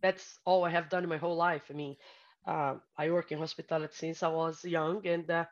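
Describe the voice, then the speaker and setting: A middle-aged woman from Brazil with a Brazilian Portuguese accent, speaking as an interview guest in a halting, filler-heavy way. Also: low-pitched, level tone. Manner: halting, many fillers and restarts, laughs easily. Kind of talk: casual interview conversation. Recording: slightly dull lo-fi audio.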